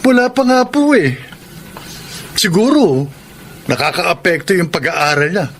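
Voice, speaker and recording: High-voiced frustrated young Filipino husband. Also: male voice, animated, a little slow, slight background noise. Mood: complaining. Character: frustrated, complaining young husband confiding in his mother. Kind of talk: Filipino radio drama acting.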